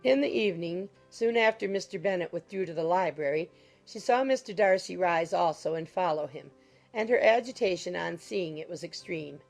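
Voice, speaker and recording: Low, creaky-voiced woman narrator. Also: expressive, normal speed, a little mellow, soft background music. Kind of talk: scripted literary audiobook narration.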